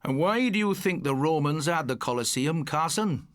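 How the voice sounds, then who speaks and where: A strict, sardonic schoolmaster, acted by a man, instructs with dry sarcasm in a storybook reading. The pitch sits fairly high and moves expressively, in a dead, close recording. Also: animated, normal speed, dry recording, lightly acted. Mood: stern, instructive. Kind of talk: audiobook character voice.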